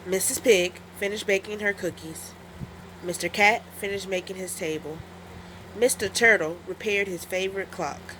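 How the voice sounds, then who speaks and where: A woman storyteller narrating a children's story in an expressive, deliberate read-aloud style. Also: mid-range voice, a little hiss or hum, poor audio.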